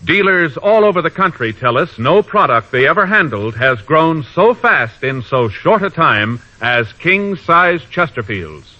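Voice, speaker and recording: A man's voice: a little high, big pitch swings, unhurried, hardly any pauses, bright, forceful tone. Formal American man announcing on vintage radio. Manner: formal, emphatic delivery. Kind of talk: 1950s radio announcing, scripted. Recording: dull vintage radio broadcast recording. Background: music.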